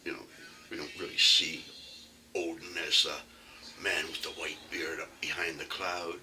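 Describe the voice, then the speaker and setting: A man's voice: very deep voice, flat delivery, unhurried, bright, forceful tone, recorded from a distance, slight background noise. A man with a Canadian accent giving a casual, rambling archery talk on his own channel. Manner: rambling, folksy, with frequent fillers. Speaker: archery vlogger.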